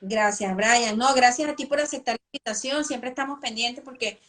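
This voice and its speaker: A woman's voice: a little high, normal speed, fairly bright tone. A woman hosting a live interview, asking long, winding questions with many fillers. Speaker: host.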